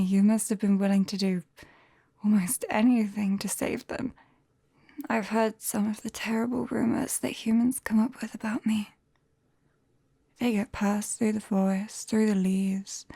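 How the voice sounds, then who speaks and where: A British woman playing a gentle, shy, caring forest witch in a soft, breathy ASMR whisper, close to a binaural microphone. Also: mid-range voice, dry recording. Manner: slow, gentle, hesitant. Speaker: ASMR roleplay performer.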